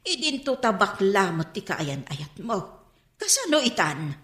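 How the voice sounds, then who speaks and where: An exasperated mother in a radio drama, full of questions. She speaks slowly with big swoops in pitch, in a roomy space. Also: very animated, fairly bright tone. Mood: questioning, exasperated.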